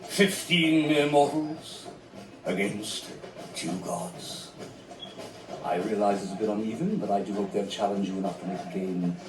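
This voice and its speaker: An actor playing a scheming, silky high priest in an animated film, deliberate and mock-polite with theatrical pauses. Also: male voice, echoey room, distant mic, background noise, close to an everyday voice. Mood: mock-polite menace.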